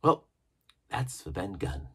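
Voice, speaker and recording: A man voicing a wry, kindly ship's doctor in a storybook, with measured, genteel, conversational delivery. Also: sits low, big pitch swings, bright, forceful tone, slightly rough, full character voice. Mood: wry, confiding. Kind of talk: storybook character reading.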